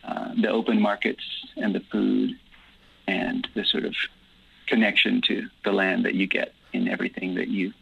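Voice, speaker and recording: Gravelly bright-toned man on lo-fi radio. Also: lowish voice, level tone, moderate pace. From Guatemala. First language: Dutch. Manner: thoughtful, easygoing, lots of ums and uhs. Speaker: guest. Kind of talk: relaxed conversational interview answers.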